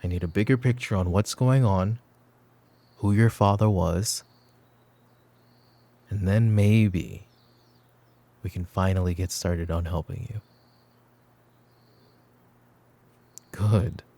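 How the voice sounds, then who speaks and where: A man playing a brooding mafia boss in a roleplay ASMR audio drama, calm, protective and teasing, with a softly commanding way of talking. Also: low-pitched, measured pace, pauses often. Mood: firm, questioning. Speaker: voice actor.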